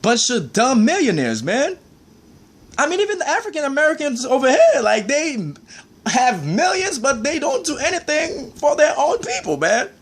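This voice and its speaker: A man's voice: very high-pitched, very animated, normal speed. A man ranting in a heated, preachy monologue, peppered with "man" and "I mean".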